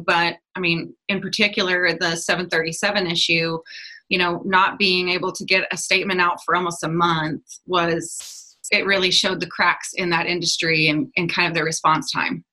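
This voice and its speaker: A woman who is a regular podcast guest, speaking in a measured, thoughtful way. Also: lowish voice, flat delivery, pushed and bright, poor audio. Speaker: podcast guest, marketing director. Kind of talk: casual podcast discussion.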